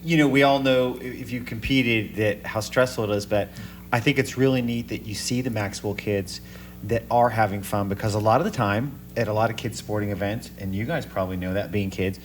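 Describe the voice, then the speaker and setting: A man's voice: on the low side, a little fast, a little hiss or hum. A man co-hosting a podcast, rambling and repeating words as he frames long questions. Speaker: podcast co-host. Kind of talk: casual interview.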